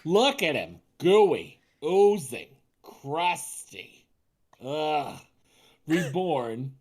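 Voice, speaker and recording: A woman with a lowish, highly animated voice hosts a comic table read. She delivers the script theatrically and undercuts it with sardonic, sweary banter. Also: extremely slow. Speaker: podcast host and script narrator.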